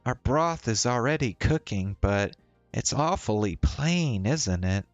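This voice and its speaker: A man's voice: mid-range voice, animated, a little slow, dry recording, dull audio. A man voicing a chatty, playful young woman in a visual novel, with a teasing, breezy manner. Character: young woman, casual, chatty, playful story-game heroine. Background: faint music.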